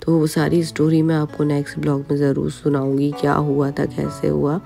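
A woman from India with an Indian accent, hoarse from a sore throat, narrating a voice-over for her vlog in a plain, low-key way. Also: completely flat delivery, normal speed, dark tone, soft background music. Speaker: vlogger.

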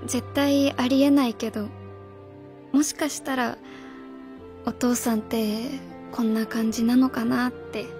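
A woman's voice: a little high, level tone, measured pace, long pauses, a little mellow, soft background music. A woman acting in a Japanese radio drama as a stiff, earnest young schoolteacher, proud yet vulnerable, speaking formally and politely. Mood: hesitant.

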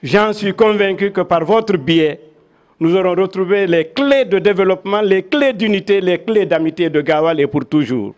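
An older man with a Guinean accent giving a measured, oratorical public speech with personal recollections into handheld microphones. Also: sits high, muffled recording. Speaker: veteran local dignitary. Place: crowded covered event venue. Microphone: handheld microphones held in front.

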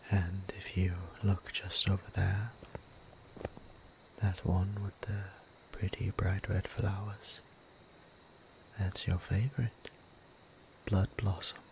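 A man playing a gentle, courteous vampire priest in an ASMR roleplay, speaking softly and breathily in a formal, soothing way. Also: low voice, little pitch movement, a little hiss or hum, rough recording. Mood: gentle, tender. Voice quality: soft, breathy near-whisper. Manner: slow, deliberate, formal, soothing. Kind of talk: intimate ASMR roleplay acting.

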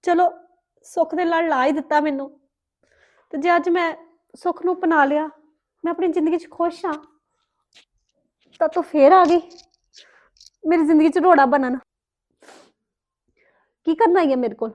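A woman's voice: very high-pitched, moderate pace, pauses often, darkish tone. A woman playing a bitter, anguished young mother in a domestic melodrama, reproachful and defiant. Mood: sorrowful. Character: bitter, anguished young mother rejecting her crying baby daughter. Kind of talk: melodramatic domestic drama acting.

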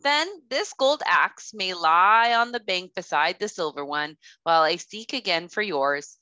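A woman voicing a kindly, gentle female water fairy in a children's storybook. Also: fairly low pitch, expressive, measured pace, no room sound, muffled recording. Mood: gentle, approving. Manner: kind, gently questioning. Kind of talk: children's storybook read-aloud.

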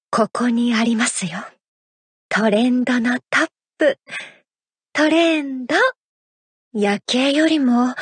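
Graceful, affectionate idol heroine; high, unhurried delivery, close and dry. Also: female voice, slightly rough, no room sound, full character voice. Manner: soft, intimate, affectionate lines. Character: graceful, playful young adult female idol. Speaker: idol character in a mobile game. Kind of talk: game character voice lines. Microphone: studio mic.

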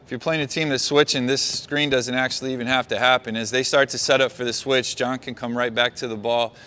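A middle-aged man, a basketball coach, walking his players through a play on the court and calling out quick praise. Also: mid-range voice, level tone, pushed and bright, clear, resonant tone. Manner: talks players through a walk-through, calls out praise. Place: large indoor gym.

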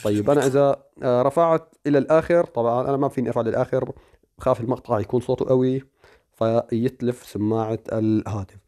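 A man's voice: medium pitch, steady tone, quick pace, warm tone. A man giving a chatty, step-by-step phone tutorial. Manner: chatty, explaining step by step with fillers. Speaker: tech tutorial host. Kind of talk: casual screen-recorded phone tutorial.

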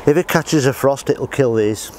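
A man's voice: mid-range voice, normal speed, a little mellow. Middle-aged man, plain practical delivery, outdoor allotment, faint background hiss. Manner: plain, practical, talks while working. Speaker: allotment gardener. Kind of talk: casual how-to demonstration.

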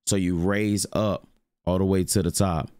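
A young man with a deep voice giving a loose, rambling, slangy tutorial on his streaming setup from his home room. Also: steady tone, moderate pace, darkish tone, clear, resonant tone, dry recording, clean studio sound. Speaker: streamer showing mic settings. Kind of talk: casual screen-recorded tutorial.

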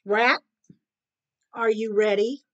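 A woman voicing a hungry, vain and menacing female cat in a folktale, with drawn-out, demanding, emphatic delivery. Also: sits high, expressive, dull audio, pitched above the performer's own voice. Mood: impatient. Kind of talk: oral folktale character voice.

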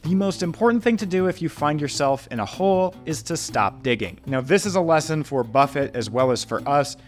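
A young man in his twenties narrating a scripted money-history video from a small home studio, with steady, upbeat explainer delivery into a podcast microphone. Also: on the high side, fairly quick, faint music behind. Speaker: finance video host. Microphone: podcast mic on boom in front.

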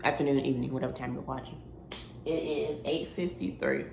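Chatty woman with a gravelly morning voice. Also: fairly even intonation, a little fast, darkish tone, slight background noise. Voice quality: deep morning voice. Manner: rambling, chatty, self-interrupting. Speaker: vlogger. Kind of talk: casual vlog chat. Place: home kitchen, then parked car. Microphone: phone camera at arm's length.

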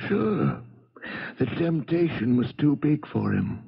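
A hard, accusing man in a radio drama. His mid-pitched voice is gravelly and rough, heard on a muffled old broadcast. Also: sing-song, takes it slowly, heavily acted. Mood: accusing, insistent. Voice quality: gravelly, husky. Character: hard, accusing man, survivor of a boat wreck. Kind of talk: 1970s radio drama acting.

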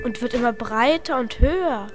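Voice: mid-range voice, lively intonation, moderate pace, over loud music, lightly acted. A young performer playing a bold space traveller in an amateur children's audio drama. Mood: alert.

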